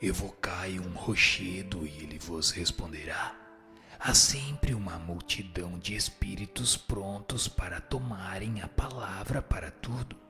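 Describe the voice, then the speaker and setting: A man playing a solemn male spirit who answers questions in a formal, measured, didactic way for a scripted radio drama. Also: low-pitched, music in the background. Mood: solemn, firm.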